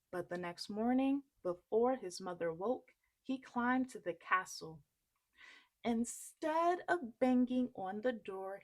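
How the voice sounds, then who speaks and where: A woman reading a children's story aloud expressively, in an unhurried, deliberate way. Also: fairly high pitch, low-quality recording.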